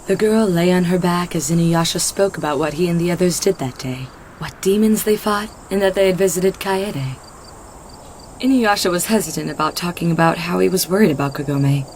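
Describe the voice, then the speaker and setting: A woman with a slightly low voice narrates a scripted story. It is a home recording, with noticeable noise behind her reading. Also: darkish tone.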